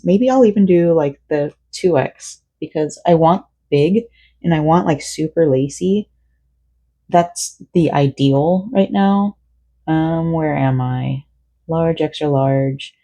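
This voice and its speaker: A woman's voice: deep voice, dark tone, no room sound. A tired young woman, born in South Korea and raised in Florida, chatting in a rambling way with many fillers while she crochets at home. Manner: rambling and chatty, with frequent fillers and self-corrections. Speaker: vlogger. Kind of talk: casual personal vlog chat while crocheting.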